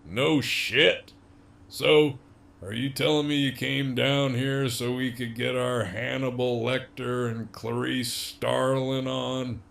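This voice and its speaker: A man's voice: medium pitch, level tone, slow pace, creaky, a bit bright. A man playing a cocky, menacing, wryly amused imprisoned gang leader in an audio drama, with a swaggering, foul-mouthed drawl. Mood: cocky, teasing.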